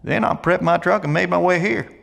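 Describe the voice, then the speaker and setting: An actor playing a blunt, friendly survivalist in an audio drama, with a folksy, gravelly drawl. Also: male voice, sits high, brisk, a bit bright, heavily acted. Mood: folksy, matter-of-fact. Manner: folksy, colloquial, plain-spoken. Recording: long echoey reverb of an empty hall.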